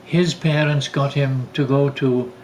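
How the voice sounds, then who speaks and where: An older man with a Welsh accent tells family stories casually, often halting to search for words and repeating phrases. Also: mid-range voice, fairly even intonation, a little mellow, poor audio. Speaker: father telling family stories. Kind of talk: casual reminiscing storytelling.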